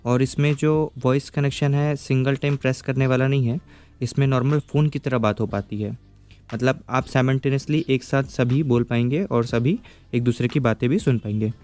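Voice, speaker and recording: A man's voice: medium pitch, steady tone, moderate pace, darkish tone, soft background music. A man giving a casual, step-by-step product review, explaining patiently and checking in with the viewer as he goes.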